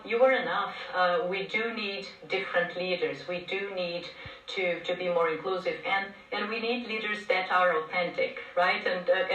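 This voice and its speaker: A woman from Brazil, a podcast guest talking in a conversational, halting way with many fillers and restarts. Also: lowish voice, a bit bright. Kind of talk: conversational interview. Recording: echoey room, distant from mic.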